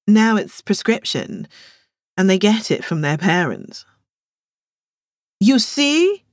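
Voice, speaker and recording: An excitable woman from a novel played by a female reader, crying out her points with emphasis. Her pitch is raised and swings widely. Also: slow, dry recording, clean studio sound. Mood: emphatic.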